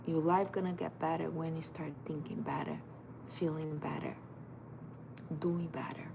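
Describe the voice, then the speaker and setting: A woman's voice: low voice, steady tone, unhurried, creaky. Woman, emphatic life coach. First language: Portuguese. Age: 30s. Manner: emphatic, direct, repetitive second-person urging. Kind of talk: motivational livestream talk. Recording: noisy background.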